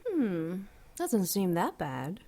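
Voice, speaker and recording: A woman playing an ancient, seductive and coolly menacing demon goddess in an audio drama, silky, deliberate and mocking. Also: lowish voice, wildly swooping pitch, mellow, full character voice. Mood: cool, probing.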